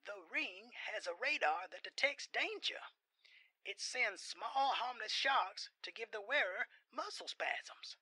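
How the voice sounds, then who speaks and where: A man voicing an elderly grandfather, a superhero's techie sidekick, in a high, strained, husky voice for an audiobook. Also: animated, a little slow, sharp tone, heavily acted, low-quality recording. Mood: explaining.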